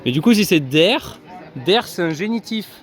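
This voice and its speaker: A man's voice: high-pitched, lively intonation, normal speed, some chatter behind. A man casually vlogging from a busy theme park, chatting to his viewers with lots of fillers. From France. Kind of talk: casual vlog commentary.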